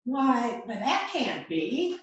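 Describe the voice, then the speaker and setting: A woman's voice: fairly high pitch, sing-song, takes it slowly, dull audio. A woman playing an anxious murder suspect, earnestly protesting her innocence in an amateur mystery play. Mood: pleading. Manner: earnest, protesting, theatrical read. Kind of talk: amateur readers theater rehearsal. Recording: very echoey, noisy room with clicks.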